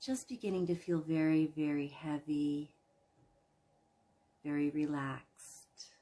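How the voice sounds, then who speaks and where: A woman leading a guided imagery relaxation in a soothing, gentle manner, leaving long pauses between phrases. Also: deep voice, fairly even intonation. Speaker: guided meditation host.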